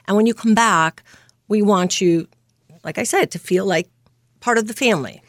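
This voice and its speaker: A woman in her fifties from Greece, a nurse practitioner telling her story as an interview guest, earnest and quick to laugh. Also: medium pitch, dry recording, studio quality. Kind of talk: casual interview.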